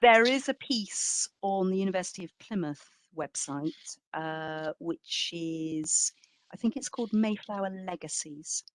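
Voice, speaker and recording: A woman's voice: fairly low pitch, measured pace, no echo, rough recording. A woman speaking as an exhibition curator in an informal academic talk, reflective and hedging, stressing key words.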